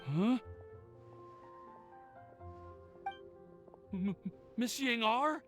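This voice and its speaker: Anxious young game alchemist; high-pitched, very animated delivery, flustered stammer. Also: male voice, slow, soft background music, exaggerated voice. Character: awkward, anxious, lovelorn young alchemist man. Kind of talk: animated video game dialogue.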